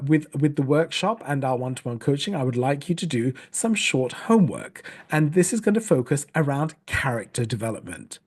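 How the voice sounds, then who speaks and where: A man's voice: on the high side, darkish tone, slightly rough. A man from Sri Lanka giving a patient, explanatory storytelling lesson, with frequent small restarts. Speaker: storytelling coach. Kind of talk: online teaching talk.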